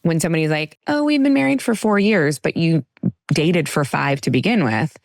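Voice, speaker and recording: Low-voiced chatty woman host. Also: moderate pace, creaky, no room sound, studio quality. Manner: chatty, laughs often, animated. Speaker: podcast host. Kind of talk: casual chat.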